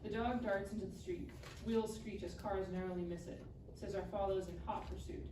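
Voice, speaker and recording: A woman's voice: mid-range voice, fairly even intonation, normal speed. A woman reading scripted scene directions aloud in clipped phrases at a live table read. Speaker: stage-direction reader. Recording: echoey room, distant mic, background noise.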